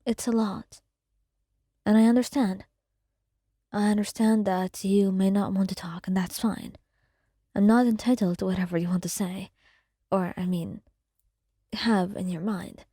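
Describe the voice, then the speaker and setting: A woman voicing a gentle male partner who comforts the listener in a soft, soothing whisper. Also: mid-range voice, steady tone, unhurried, long pauses, breathy edge, dry recording, studio quality. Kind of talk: whispered ASMR roleplay.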